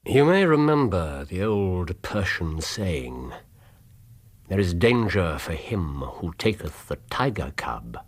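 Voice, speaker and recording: An actor playing a brilliant Victorian English detective in a radio drama, explaining things with theatrical precision. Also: male voice, sits low, very animated, takes it slowly, full character voice. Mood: grave, musing.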